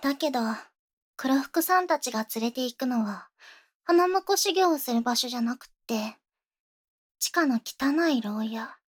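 High-voiced, possessive little rich girl. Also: lively intonation, unhurried. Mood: possessive, coolly threatening. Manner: cutesy, coaxing, childlike phrasing, breaking into whispers. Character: possessive yandere young rich girl, sweet yet menacing. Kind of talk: Japanese ASMR situation voice drama.

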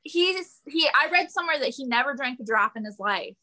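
A woman with a high, bright voice that swings widely in pitch. She chats casually and eagerly, jumping in and drifting into asides. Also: animated, a little fast. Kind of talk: casual podcast chat.

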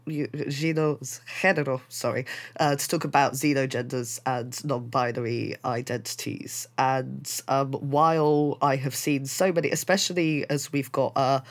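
A man in casual conversation, chatty and quick to laugh, with many fillers and restarts. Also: mid-range voice, steady tone. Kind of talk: casual chat.

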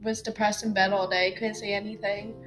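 A woman's voice: mid-range voice, level tone, brisk, a bit bright, rough recording. Woman co-host, earnest and supportive, casual chat, faint music behind. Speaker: podcast co-host.

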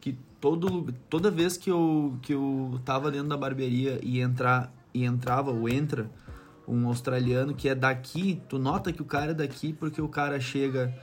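A man's voice: medium pitch, steady tone, normal speed. A man from Brazil with a southern Brazilian accent, chatting casually and slangily, swearing now and then and acting out what people said. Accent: southern Brazilian Portuguese. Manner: slangy, swearing, acts out quoted remarks. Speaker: barber living abroad as an immigrant. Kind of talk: casual conversational anecdotes.

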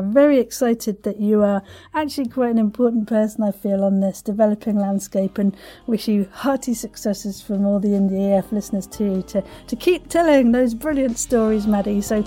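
A woman's voice: a little high, dark tone. A woman hosting a chatty interview podcast, effusive and encouraging in how she talks. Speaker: podcast host interviewing a guest.

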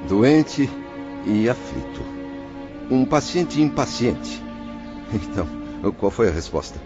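An actor playing an anxious, ill-tempered former merchant in a radio drama, speaking in pompous, ornate formal phrases. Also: male voice, mid-range voice, sing-song, takes it slowly. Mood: impatient, demanding. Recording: muffled band-limited audio with music behind.

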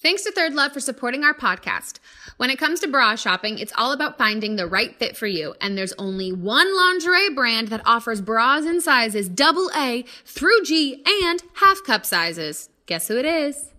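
A woman hosting a podcast in a playful, conversational way. Her voice is bright and fairly high. Her pitch moves around a great deal as she chats informally and slips in casual asides. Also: very animated, normal speed. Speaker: podcast host. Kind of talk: casual chatty podcast talk and host-read ad.

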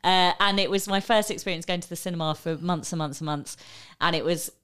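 Woman, low voice, smooth and clear, enthusiastic casual film chat, rambling. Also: brisk, fairly bright tone. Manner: enthusiastic, rambling with many fillers and restarts. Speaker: podcast co-host.

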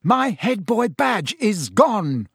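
A man's voice: sits high, big pitch swings, slow, fairly bright tone, husky, no echo, studio quality, voice pushed up, full character voice. A man voicing a pompous, dignified teenage older brother with a lofty, long-suffering manner. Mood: outraged.

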